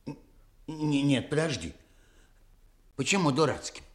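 Актёр играет робкого, нерешительного и доверчивого служащего, который запинается, в радиоспектакле 1960-х. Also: male voice, on the high side, big pitch swings, measured pace. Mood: hesitant, unsure. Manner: stammering, hesitant. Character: timid, indecisive, gullible petty official. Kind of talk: 1960s radio drama acting.

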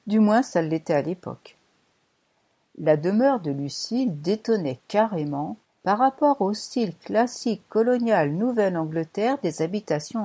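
A woman narrating a first-person novel as an audiobook, in a measured, wry storytelling manner. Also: medium pitch, warm tone.

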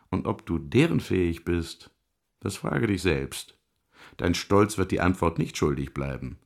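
An actor playing a sardonic, eloquent devil in a literary radio drama, gravelly and theatrical, savouring each word with mockery. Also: male voice, lowish voice, heavily acted. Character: sardonic, eloquent devil lecturing on Hell's torments.

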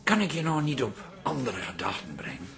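A man's voice: medium pitch, huge pitch swings, brisk, vocal fry, over music. A man playing a well-meaning, exasperated son-in-law from the provinces in a Dutch radio drama, hesitant and teasing. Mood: pleading. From Twente, Netherlands. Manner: hesitant, repeats words, teasing.

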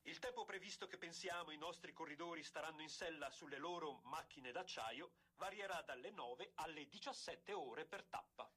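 An actor playing an emphatic 1920s radio commentator who announces a bicycle race in a formal, declamatory way. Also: male voice, a little high, moderate pace, few pauses, bright, forceful tone, breathy edge. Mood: proud, announcing. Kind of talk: period sports commentary, theatrical radio acting.

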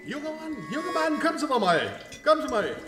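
Radio drama: boastful, self-satisfied male East German painter, swooping animated delivery over music. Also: very high-pitched, fast. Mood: jovial, proud. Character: boastful East German painter, jovial party-loyal man.

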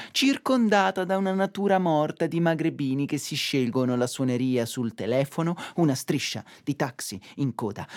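An actor playing a fevered prophet narrating a doomed city, declaiming a theatrical monologue with insistent repetitions. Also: male voice, high-pitched, lightly acted.

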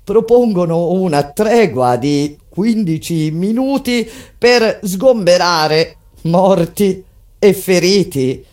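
An actress playing a grave, intense resistance fighter recounting an uprising in solemn, declamatory theatrical narration. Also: female voice, on the low side, expressive. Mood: grave, restrained. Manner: solemn, declamatory, deliberate phrasing. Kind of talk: dramatic theatrical narration of a text set to music. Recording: loud music under the voice. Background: loud instrumental music.

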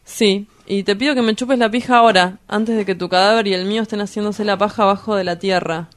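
A woman's voice: mid-range voice, fairly even intonation, a little fast, close to an everyday voice. A woman with an Argentine accent playing a cynical, shameless young male lover in a radio drama. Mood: brazen, insistent.

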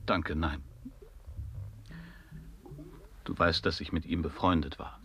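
A man's voice: very deep voice, steady tone, moderate pace, sharp tone, a little hiss or hum, heavily acted. An actor playing an uneasy, evasive, grumbling man in a radio play, with dry, terse, irritable delivery. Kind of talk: absurdist German radio play acting.

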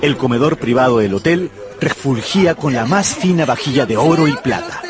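A man's voice: medium pitch, animated, moderate pace, natural voice. An actor playing a bitter, obsessive ruined gambler in a radio drama, brooding and dramatic as he talks to himself and looks back. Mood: nostalgic, confiding. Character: bitter, obsessive ruined male gambler recalling his youth. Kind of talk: radio drama monologue and narration. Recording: music behind the voice.

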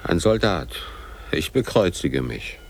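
A low, husky, weary man. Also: very animated, takes it slowly, heavily acted. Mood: gruff, weary. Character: gruff, weary grown man humoring a child. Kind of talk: radio drama acting.

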